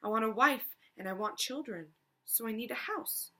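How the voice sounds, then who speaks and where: A woman's voice: on the high side, moderate pace, bright, forceful tone. A woman voicing a needy storybook boy who has grown into a sad, weary man, plaintive and demanding. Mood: insistent, wanting.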